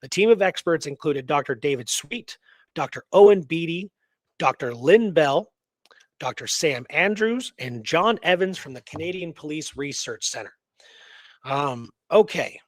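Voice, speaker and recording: A man's voice: on the high side, lively intonation, unhurried, no echo. A man co-hosting a radio show, recounting history in a casual, enthusiastic, informal way.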